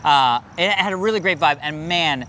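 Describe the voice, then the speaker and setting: Enthusiastic host, informal film review chat, medium pitch, noticeable background noise. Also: moderate pace, a bit bright.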